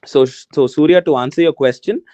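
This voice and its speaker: A man's voice: a little high, moderate pace, dark tone, no room sound, muffled recording. A man with an Indian English accent, a native Tamil speaker, talking to students in a casual, encouraging way. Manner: casual, encouraging, calls listeners man and guys. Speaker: alumni guest speaker. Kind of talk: motivational talk to students.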